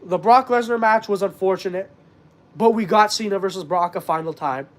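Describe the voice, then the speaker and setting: Young guy host, high pitched, congested voice, earnest rambling stream chat. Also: moderate pace. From Canada. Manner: earnest, rambling, many fillers. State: stuffed up. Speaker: wrestling channel host. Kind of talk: casual live-stream chat.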